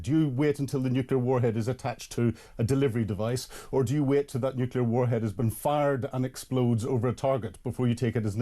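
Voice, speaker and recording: A man with a warm, mellow tone speaks as a studio analyst on a talk radio show. He works through his points analytically, with frequent ums and restarts. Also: mid-range voice. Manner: plain, analytical, many fillers. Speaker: studio guest, security analyst.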